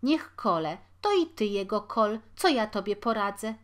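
A woman reading aloud gives voice to a poor middle-aged washerwoman who talks loudly and high. She scolds and laments in a rushed, anxious way, typical of a storybook mother worn down by need. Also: animated, lightly acted. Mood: fretful. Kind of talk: audiobook character voice.

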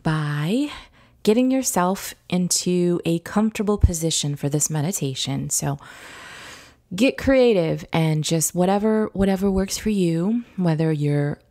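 Slow-speaking woman guiding a meditation. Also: fairly low pitch, expressive. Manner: gentle, soothing, instructive, with long pauses. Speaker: guided meditation teacher. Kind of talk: guided visualization meditation. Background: background music.

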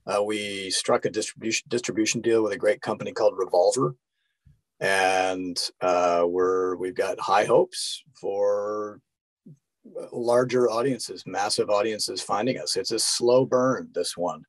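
A man's voice: fairly low pitch, steady tone, unhurried, no room sound, low-quality recording. A middle-aged actor, a man, explaining things earnestly and informally in a casual interview. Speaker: podcast guest, actor and director.

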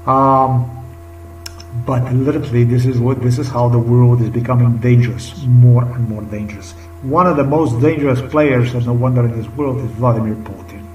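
A man's voice: mid-range voice, normal speed, darkish tone, dull audio. A middle-aged man with a Slovenian accent, talking unscripted to his webcam in a rambling, repetitive, emphatic way, sometimes sinking to a whisper. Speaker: vlogger. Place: small indoor room. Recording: roomy webcam audio from a distance, faint music behind.

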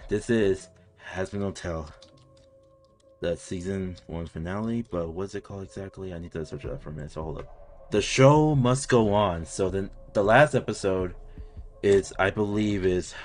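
A young man in his twenties hosts a reaction video at home, chatting excitably and swearing. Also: low voice. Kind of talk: casual chat. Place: home room with curtains.

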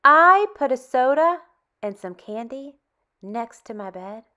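A woman leads a children's storytime in a high, bright voice. Her pitch swings freely as she reads, with expressive, carefully spaced phrasing aimed at very young listeners. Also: lo-fi sound. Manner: animated, expressive, deliberate phrasing with dramatic hushes. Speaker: storytime host. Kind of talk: children's read-aloud, addressing young children.

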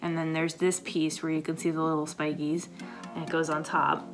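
A woman in her thirties talks loosely and chattily at her kitchen table, her voice low and a bit raspy. Plenty of fillers and restarts. Background music runs clearly under her voice. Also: level tone, moderate pace. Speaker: vlogger, paramedic. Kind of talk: casual coffee chat to camera. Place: home kitchen, indoors.

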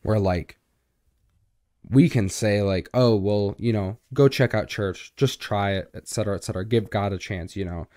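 A young man co-hosting a podcast in a small home studio, with a deep, boomy voice and a relaxed, rambling way of talking full of fillers. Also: normal speed, no echo. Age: young adult. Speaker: podcast co-host. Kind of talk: casual chat. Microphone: studio mic on boom arm.

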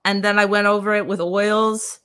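A woman's voice: medium pitch, flat delivery, normal speed, fairly bright tone, clean tone. A woman in her thirties hosting a casual video chat about art, speaking into a desk microphone. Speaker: host and artist. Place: cluttered indoor studio. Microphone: desk microphone on a boom, video call.

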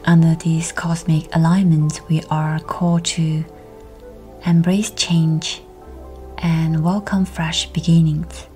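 A woman leading a scripted guided meditation in a hushed, breathy, near-whispered voice, soothing and measured. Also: sits low, level tone, soft background music.

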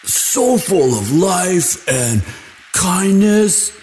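Man acting a slow-talking, sweet himbo boyfriend. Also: sits high, sing-song, vocal fry, a little mellow, faint music behind, exaggerated voice. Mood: smitten, excited. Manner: slow, dude-ish drawl full of likes and sighs. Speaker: audio roleplay performer. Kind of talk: intimate boyfriend roleplay, casual chat.

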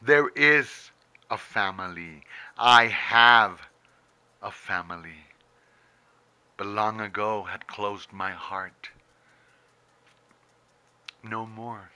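A middle-aged man reciting his own poetry as dramatic spoken word, intense and theatrical, with long pauses and emphatic bursts, close to a camera indoors. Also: fairly low pitch, sharp tone. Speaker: poet reading own poem. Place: indoors, small book-lined room. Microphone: handheld camera very close to face.

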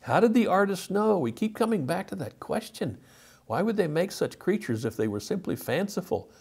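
A man's voice: sits high, sing-song, normal speed, creaky, a little mellow, slightly rough. A man, presenting a lecture as a teacher, emphatic and given to rhetorical questions. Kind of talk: illustrated lecture to camera. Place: indoor studio.

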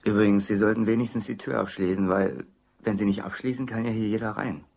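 Cash courier, lowish voice, brisk delivery, matter-of-fact, radio crime play. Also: male voice, steady tone, vocal fry, darkish tone. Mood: defensive, dry. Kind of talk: German crime radio drama acting.

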